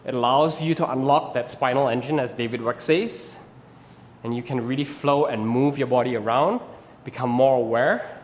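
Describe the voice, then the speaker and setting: A man's voice: mid-range voice, normal speed, slight background noise. Malaysian man teaching in a reverberant room. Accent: Malaysian English. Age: 30s. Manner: patient, explanatory, often ends points with "right?". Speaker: rope flow instructor. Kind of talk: instructional video talk.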